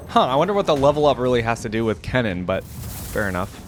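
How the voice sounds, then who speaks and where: Animated man giving casual gaming commentary. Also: mid-range voice, fast talker, slight background noise. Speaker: reaction video host.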